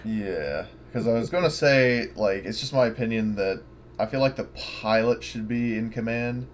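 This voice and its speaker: A man's voice: medium pitch, normal speed. A man chatting casually as a player in a tabletop roleplaying game, tentatively thinking aloud. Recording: clear background noise.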